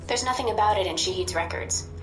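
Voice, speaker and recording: An actress playing a composed, wry intelligence handler in a video game, with a measured, matter-of-fact manner. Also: female voice, medium pitch, close to an everyday voice. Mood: businesslike. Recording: roomy sound with music behind.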